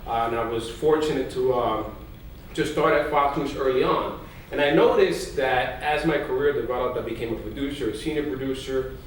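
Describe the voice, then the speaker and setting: A man from Puerto Rico, a news executive on a conference panel, telling energetic stories and dropping in Spanish phrases. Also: medium pitch, normal speed. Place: large conference hall. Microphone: distant mic in an echoey hall. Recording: echoey hall recording from far off, faint noise.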